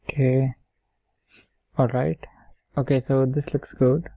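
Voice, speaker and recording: Slow, flat-voiced man teaching coding. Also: mid-range voice, gravelly, no room sound. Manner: explains step by step, often checking with "okay" and "right". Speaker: software tutorial instructor. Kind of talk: informal screen-share coding tutorial.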